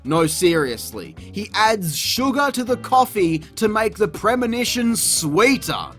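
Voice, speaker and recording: A man's voice: sits high, big pitch swings, unhurried, fairly bright tone, faint music behind. A man hosting a comedic video review, narrating a script with sweary, exasperated sarcasm. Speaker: host of a video review show.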